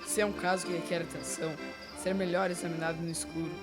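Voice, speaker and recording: A cool, composed gentleman detective in a radio play, acted by a girl. The voice is lowish and quick but self-assured, with loud music behind it. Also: flat delivery, natural voice. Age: child, about twelve. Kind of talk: amateur radio play acting.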